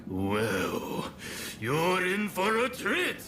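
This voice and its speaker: An actor playing a boastful, swaggering samurai rebel with a bold, theatrical delivery in a video game. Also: male voice, high-pitched, wildly swooping pitch, extremely slow, background noise, full character voice. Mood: tipsy boasting. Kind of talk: video game cutscene acting.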